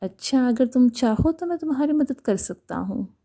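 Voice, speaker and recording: A woman as a mellow, coaxing young suitor. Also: high-pitched, lively intonation, normal speed. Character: young man, persistent, gently pleading suitor.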